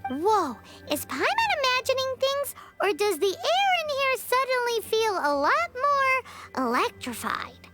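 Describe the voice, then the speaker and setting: A woman voicing a small, childlike, cheeky fairy companion in a video game, with a squeaky voice. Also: huge pitch swings, unhurried, few pauses, full character voice. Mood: nervous. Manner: refers to self in third person. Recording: game music playing loudly behind.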